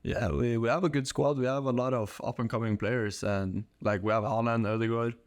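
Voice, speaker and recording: A man's voice: mid-range voice, steady tone, normal speed, no room sound. A young man from Norway, chatting casually and informally as an interview guest. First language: Norwegian. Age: young adult. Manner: informal, slangy. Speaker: podcast guest, college soccer player.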